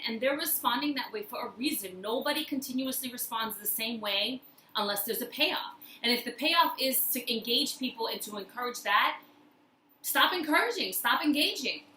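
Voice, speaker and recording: A woman with a fairly high, very bright voice gives a motivational talk as the host of her own video. She speaks emphatically, repeating key phrases to drive them home. The room adds a noticeable echo around her voice. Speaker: host of a personal-growth video series. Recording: roomy echo, faint background noise.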